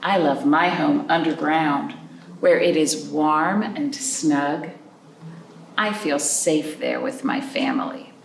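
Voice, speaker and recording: A woman hosting a library storytime, reading picture books and chatting to young children in an animated way with simple questions. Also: sits low, slow, creaky. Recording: roomy, distant mic with background noise.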